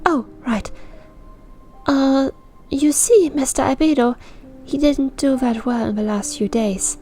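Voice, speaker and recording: High, stammering, flustered young girl. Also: takes it slowly, warm tone, soft background music. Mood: worried, rambling. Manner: stammering, rambling, earnest. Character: flustered, timid, huffy young girl with animal ears.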